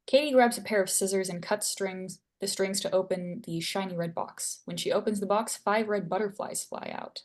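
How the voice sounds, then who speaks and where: A woman's voice: medium pitch, fairly even intonation, normal speed, hardly any pauses, a little mellow. A woman reading stage directions and scene headings as narrator at a screenplay table read.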